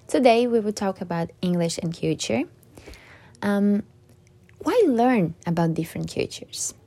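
A woman, a student hosting her own podcast and reading prepared remarks in a careful, measured way. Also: medium pitch, dark tone. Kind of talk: scripted podcast talk.

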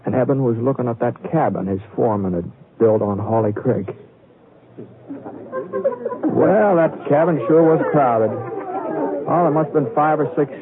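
An actor playing a folksy, conversational Western storyteller in a radio drama, on a hissy, tinny 1940s broadcast. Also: male voice, medium pitch, a little slow, pauses often, lightly acted. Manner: homespun, conversational. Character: folksy Western storyteller narrating a Christmas tale. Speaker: narrator.

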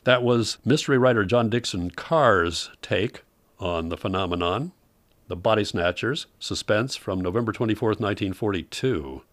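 A man hosting an old-time radio program, narrating from a script in a relaxed way. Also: lowish voice, moderate pace, no echo.

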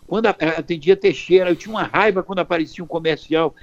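A man's voice: a little high, fast, lo-fi sound. An older man, an advertising veteran, talking emphatically as an interview guest and often checking that he is understood. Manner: emphatic, keeps asking "entendeu?". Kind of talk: casual interview talk.

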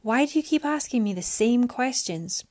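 A woman's voice: on the high side, normal speed, a little mellow, natural voice. A woman voicing a defiant, frightened young woman who speaks with rising heat.

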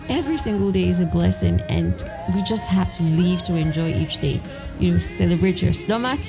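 A woman with a fairly low, slightly raspy voice hosts her own podcast. She talks in an earnest, encouraging way, filling pauses with little fillers, and music plays clearly behind her. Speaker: podcast host. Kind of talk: solo reflective podcast talk.

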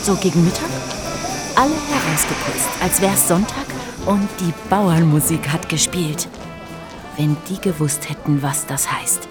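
An actress playing a chatty, scatterbrained narrator in an audio play, musing and drifting into playful asides. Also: female voice, lowish voice, expressive, measured pace, music in the background. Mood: musing, animated. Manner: musing, hesitant, wandering off into asides. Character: chatty, scatterbrained woman narrator, playfully straying from her script. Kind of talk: scripted audio play for a hiking trail, conversational.

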